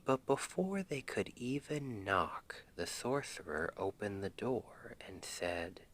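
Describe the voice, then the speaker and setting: A man playing a tender, playful boyfriend in an ASMR roleplay, speaking in a gentle, intimate half-whisper to soothe his partner to sleep. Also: on the low side, lively intonation, slow, slight background noise. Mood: soft, playful storytelling. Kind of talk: ASMR roleplay, soft bedtime storytelling.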